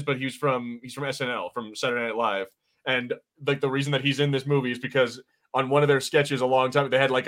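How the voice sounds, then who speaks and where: A man's voice: medium pitch, fairly bright tone. A man co-hosting a podcast, giving casual watch-party commentary in a chatty, slangy way with some swearing. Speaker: podcast co-host.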